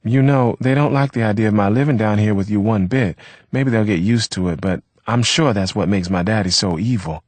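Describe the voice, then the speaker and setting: A man's voice: fairly low pitch, fairly quick, a little mellow, no room sound, pitched above the performer's own voice, natural voice. A man voicing a young woman lover who coaxes and pleads defensively, in a wheedling manner. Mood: worried.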